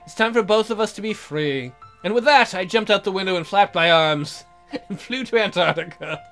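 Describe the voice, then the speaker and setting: A man's voice: high voice, lively intonation, normal speed, a bit bright, faint music behind. A man hosting a gaming video, reading visual novel narration aloud in a theatrical storytelling manner.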